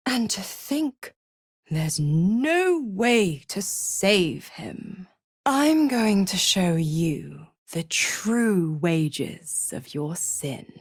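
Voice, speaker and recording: A woman's voice: mid-range voice, no room sound, clean studio sound. An actress voicing a gloating, seductive female devil in a video game, with a mocking, theatrical, condescending drawl. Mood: ominous. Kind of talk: video game character acting.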